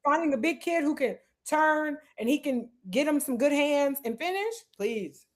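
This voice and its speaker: A woman co-hosting a live sports talk show, chatting casually and animatedly with quick asides. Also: sits high, lively intonation. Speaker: podcast co-host.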